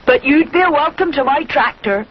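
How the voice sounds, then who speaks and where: A woman's voice: high voice, lively intonation, normal speed, highs cut off, a little hiss or hum, voice pushed up. A woman voicing a jovial, doubting country farmer in a children's storybook, with a rustic English accent and an amused drawl. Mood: amused disbelief.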